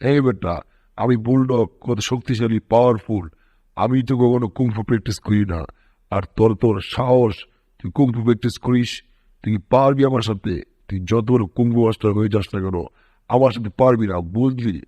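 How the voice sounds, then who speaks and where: A man's voice: medium pitch, normal speed, dark tone, no room sound, lightly acted. A man voicing a strong, bullying cartoon bulldog who taunts, brags and scolds. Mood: scornful. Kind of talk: amateur cartoon dub in Bengali. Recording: dull, muffled dry audio.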